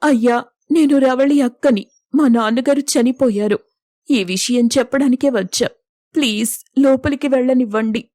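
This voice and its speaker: A young elder sister voiced by a woman from a moral story, self-sacrificing and hurt. Her voice sits a little high with a soft tone, earnest and emotional, recorded dry. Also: moderate pace, dry recording. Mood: pleading. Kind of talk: acted dialogue in an audio story.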